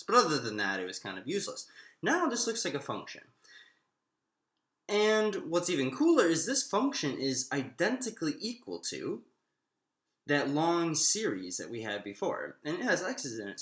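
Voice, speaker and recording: A man's voice: mid-range voice, a bit bright. A man giving an informal math lecture, explaining steps aloud and posing questions to himself as he works. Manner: explanatory, thinking aloud with self-posed questions. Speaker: math instructor. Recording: echoey, roomy sound.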